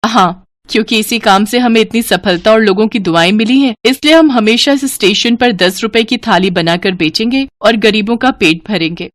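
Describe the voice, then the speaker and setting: A woman's voice: medium pitch, normal speed, few pauses, dry recording, lightly acted. A woman voicing an earnest, big-hearted young daughter-in-law who sells food. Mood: proud.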